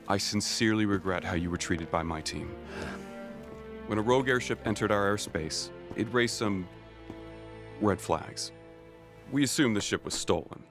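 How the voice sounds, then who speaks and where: An actor playing a stern, weary middle-aged military leader in an animated series, measured and formal. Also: male voice, fairly low pitch, natural voice. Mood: formal, apologetic. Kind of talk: animated series drama acting. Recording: dramatic music under the voice.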